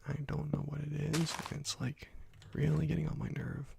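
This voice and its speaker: A young man softly whispering slow, relaxed commentary while playing a video game, close to a desk microphone. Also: a little high, creaky, background noise. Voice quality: soft whispering, often unvoiced. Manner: slow, relaxed rambling between quiet pauses. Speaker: let's play host. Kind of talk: ASMR gameplay commentary. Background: faint keyboard and mouse clicks.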